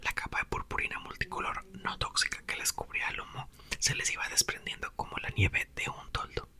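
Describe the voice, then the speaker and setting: A man narrates a storybook entirely in a whisper, in the style of an ASMR reading. The sound is breathy and bright, with sharp detail in each word. His delivery stays soft, intimate and expressive. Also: brisk. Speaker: ASMR creator reading a book aloud.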